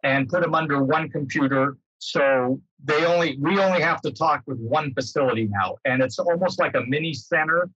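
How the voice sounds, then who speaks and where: A man's voice: a little high, normal speed, few pauses, fairly bright tone, husky. An older American man giving an informal explanation over a video call, rephrasing himself as he goes. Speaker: guest speaker, former air traffic controller. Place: indoors, book-lined home room. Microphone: computer mic on video call. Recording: dull, roomy, lo-fi video-call sound.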